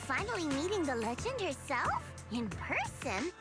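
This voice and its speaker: An actress voicing a bubbly, gushing, chatty young female officer in a video game, full of flattery. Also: sits high, moderate pace. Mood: bubbly, flattering. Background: game music.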